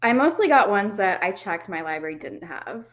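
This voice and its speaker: Female, mid-pitched, informal conversational delivery, recorded dull and slightly muffled. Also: very animated, normal speed. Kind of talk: casual chat.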